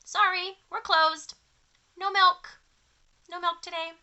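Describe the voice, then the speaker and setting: A woman voicing polite but stubborn farm cows in a storybook, delivered deliberately like a letter being read out. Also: very high-pitched, fairly even intonation, bright, forceful tone, voice pushed up, full character voice. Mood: firm.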